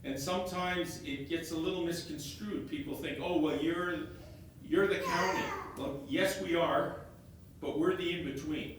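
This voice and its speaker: A man with a loud voice presents slides to a meeting, stumbling and restarting phrases as he goes. He is heard from some way off in a very echoey room, with a faint rumble underneath. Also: fairly even intonation, distant mic. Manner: halting, repeats words and restarts phrases. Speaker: elected county councillor. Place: meeting room, stepping back from a fixed mic.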